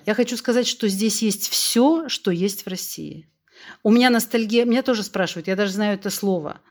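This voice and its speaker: A woman around sixty, originally from Russia, talks as a podcast guest. She is frank and animated and speaks openly in an easygoing conversational way. She often acts out dialogue while telling anecdotes. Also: mid-range voice, a little fast. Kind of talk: candid conversational storytelling.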